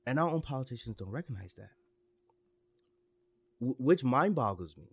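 A man's voice: mid-range voice, lively intonation, moderate pace, breathy edge. A young man giving his opinions in casual chat, earnest and stumbling over restarts as he gets worked up.

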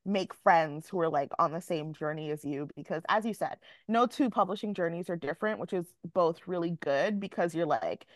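A woman's voice: on the low side, normal speed, few pauses, dry recording. Candid young woman author, dry close sound. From Jamaica. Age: young adult. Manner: candid, self-mocking, laughs often. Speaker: author guest. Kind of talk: casual podcast interview.

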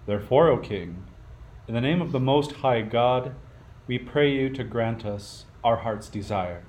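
A man's voice: mid-range voice, lively intonation, measured pace, a little mellow, natural voice. An actor playing a solemn, courtly magus king in a radio play, formal and reverent. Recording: faint background noise.

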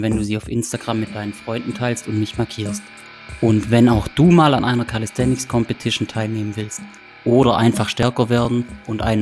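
A man hosting a podcast reads a scripted outro in a friendly, promotional way. His voice is mid-pitched, and faint guitar music plays behind him. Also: darkish tone. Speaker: podcast host, fitness coach.

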